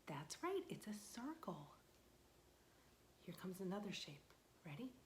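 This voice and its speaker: A young woman librarian leading a storytime for young children, asking questions in a sing-song voice and dropping to soft whispers for suspense. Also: medium pitch, sing-song, a little slow, slight background noise.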